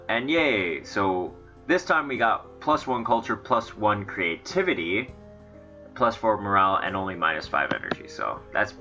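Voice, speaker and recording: A man's voice: low voice, bright, forceful tone, dull audio. A man with a stuffy, congested nose, rambling chattily in casual commentary on a game he is playing. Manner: rambling, chatty, many fillers. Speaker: let's play host.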